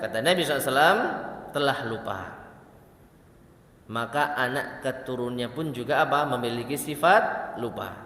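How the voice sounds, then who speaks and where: A man preaching at a religious study session. He recites Arabic lines slowly and then explains them in quick asides to the congregation. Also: medium pitch, a bit bright, roomy sound, in a large hall. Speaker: Islamic preacher.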